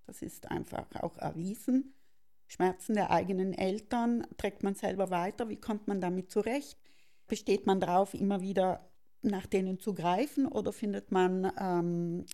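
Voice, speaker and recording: She is a middle-aged woman with a South Tyrolean accent, reflecting on personal matters in an interview. Her voice is mellow, with plenty of creak. She feels her way through sentences, often correcting herself as she goes. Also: medium pitch, unhurried. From South Tyrol, Italy. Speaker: interview guest.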